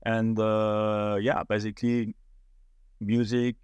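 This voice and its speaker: A middle-aged man with a French accent talks in a podcast interview, his voice sitting fairly low. He speaks haltingly, with frequent fillers and restarts. The sound is close and dry, with no room around it. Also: flat delivery, darkish tone, smooth, no echo, muffled recording. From France. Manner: hesitant, many fillers and restarts. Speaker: startup founder, guest.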